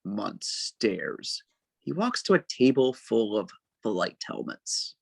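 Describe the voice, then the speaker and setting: A man reading stage directions as narrator at a table read, with measured, dramatic phrasing. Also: lowish voice, sing-song, creaky, a little mellow, breathy edge, no room sound.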